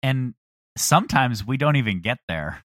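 A man's voice: medium pitch, expressive, normal speed, fairly bright tone, no room sound, clean studio sound. A man speaking casually as an interview guest. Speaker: audio fiction creator, interview guest.

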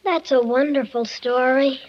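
A woman's voice: high voice, fairly even intonation, a little slow, a little mellow. A female performer playing a tiny, eager, innocent child in 1950s radio style. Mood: pleased. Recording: dull, noisy vintage radio recording.

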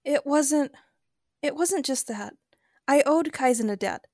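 A woman's voice: high-pitched, lively intonation, measured pace, vocal fry, a little mellow, no room sound, clean studio sound, full character voice. A woman acting an earnest, remorseful young woman in love, pleading emotionally in an audio drama. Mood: defensive, pleading.

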